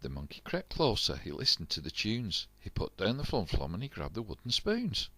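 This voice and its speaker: An older man reads a rhyming picture book for his grandchildren, bouncing along with the rhythm. His voice is deep but swings widely in pitch. The sound is close and dry. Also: normal speed, breathy edge, no echo. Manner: animated, rhythmic rhyming delivery. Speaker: storyteller reading to grandchildren. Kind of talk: storybook reading aloud.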